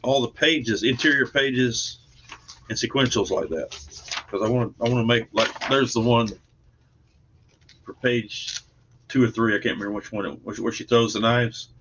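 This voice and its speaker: A man's voice: mid-range voice, normal speed, pauses often, background noise. Casual man on dull lo-fi audio. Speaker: guest comic book artist. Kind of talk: casual chat.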